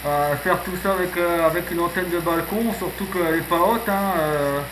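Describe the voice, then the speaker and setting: A man's voice: sits high, moderate pace. A man chatting casually as an amateur radio operator, peppering his talk with radio jargon and verbal tags. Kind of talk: casual radio contact chat. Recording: echoey, noisy shortwave radio contact recording.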